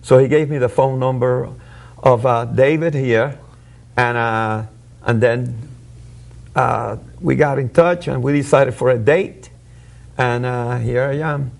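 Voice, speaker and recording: Slow, gravelly man, born in Puerto Rico. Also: medium pitch, fairly even intonation. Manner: halting, many fillers and restarts. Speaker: guest. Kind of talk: telling his story in an interview.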